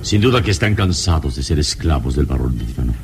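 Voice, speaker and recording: A wise radio-serial hero with a low, expressive voice. He is composed and reassuring, reasoning things out in measured phrases. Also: noisy background. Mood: thoughtful. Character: calm, wise, reassuring male adventure hero. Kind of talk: vintage radio drama acting.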